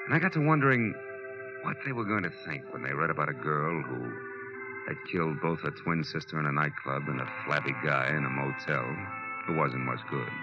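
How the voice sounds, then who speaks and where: Deep voiced hard-boiled american private eye, weary n wry, old radio drama, muffled w music behind. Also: male voice, animated, fairly quick. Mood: weary, reflective. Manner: hard-boiled, clipped, colloquial. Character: world-weary tough male private detective, wry and reflective. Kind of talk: 1940s radio acting, first-person noir narration.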